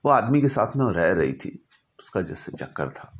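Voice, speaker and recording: A man narrating stories informally as an astrologer, speaking deliberately in short phrases broken by pauses. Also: fairly low pitch, sing-song. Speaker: astrologer telling client stories.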